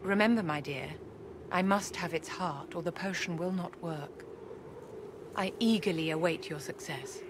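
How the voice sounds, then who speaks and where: A woman acting a haughty, genteel court enchantress from a fantasy video game. Her voice sits fairly low, and she speaks in measured, formal phrases with lofty courtesy. Clear background noise and ambience surround her. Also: natural voice. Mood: composed, guarded.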